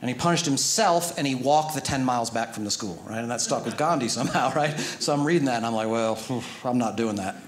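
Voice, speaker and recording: A middle-aged American man performing a stand-up comedy set into a handheld microphone on a theater stage, animated and breaking into loud mock scolding. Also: mid-range voice, fast, hardly any pauses, a crowd in the background. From United States. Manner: animated, jumps into loud shouting when acting out scolding. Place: theater stage with a live audience. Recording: roomy theater sound with audience laughter.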